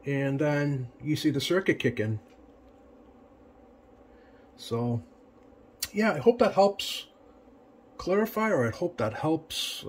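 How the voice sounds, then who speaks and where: Casting: male repair tech, mid-pitched, casual and hesitant hands-on demo, faint noise behind him. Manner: hesitant, unscripted, plain-spoken. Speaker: CB radio repair technician. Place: indoor home electronics workbench. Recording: noticeable background noise, workbench room.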